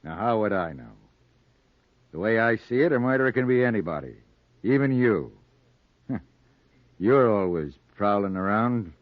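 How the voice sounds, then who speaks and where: Menacing folksy police sergeant, male actor, deranged underneath, low voice, dry studio sound on an old radio drama. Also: animated, normal speed, pauses often, dry recording, close to an everyday voice. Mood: sly, suspicious. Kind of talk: 1940s radio noir acting. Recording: dull dry 1940s radio recording.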